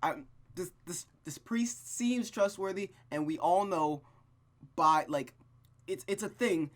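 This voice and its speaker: A gaming host reading a visual novel aloud with casual, rambling, expressive commentary. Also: medium pitch, slow. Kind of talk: casual game commentary and read-aloud narration.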